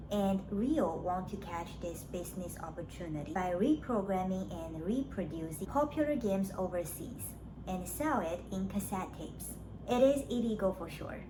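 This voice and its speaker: A woman narrating a scripted summary of a story, as a book reviewer does. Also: medium pitch. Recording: clearly noisy background.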